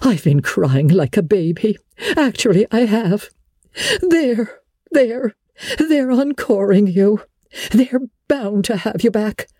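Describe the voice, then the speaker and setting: A woman's voice: mid-range voice, lively intonation, slow, dark tone, no echo, studio quality, voice pushed up. A woman voicing a stout, kindly society lady with a puffing, effusive manner, in a storybook reading. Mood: gushing, encouraging.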